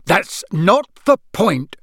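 A fuming middle-aged wizard father, put on by a man reading aloud, scolding with a raised, fairly high voice. He rages at his sons in a dry, close storybook reading. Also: big pitch swings, slow, no room sound, heavily acted. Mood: angry scolding. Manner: shouting, scolding, then mumbling sheepishly. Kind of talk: audiobook character voice.

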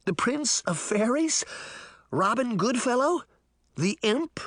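A man's voice: mid-range voice, very animated, a little slow, creaky, bright, forceful tone, no room sound, studio quality, exaggerated voice. A man voicing a boastful, indignant fairy trickster boy in a theatrical, crowing way for an audiobook. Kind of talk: audiobook character voice.

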